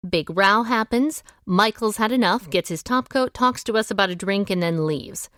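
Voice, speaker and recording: An actress playing a shrewd, take-charge amateur detective with a brisk, wry way of reasoning aloud in an audio drama. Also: female voice, medium pitch, fairly bright tone, no room sound, studio quality, close to an everyday voice. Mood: brisk, probing. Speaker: lead actor in an audio drama.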